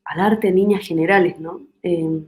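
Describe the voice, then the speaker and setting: A woman's voice: on the low side, fairly even intonation, normal speed, darkish tone. Argentine woman musing thoughtfully. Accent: Argentine Spanish. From Argentina, Córdoba. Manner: thoughtful, searching for words, many restarts. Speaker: guest singer-songwriter. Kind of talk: reflective interview talk.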